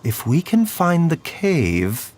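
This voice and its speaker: A man voicing a gentle, composed male physician in a video game, speaking politely and formally. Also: on the high side, very animated, slow pace, a little hiss or hum. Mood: courteous.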